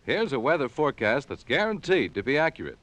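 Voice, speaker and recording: Lively American radio ad announcer, male. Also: mid-range voice, fast, no echo. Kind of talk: vintage scripted radio advertisement.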